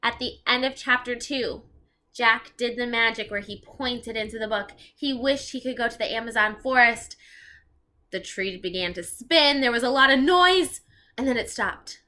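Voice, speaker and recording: A woman reading a children's storybook aloud, expressive and explaining things to young listeners as she goes. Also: fairly high pitch, normal speed. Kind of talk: read-aloud narration with asides to young listeners.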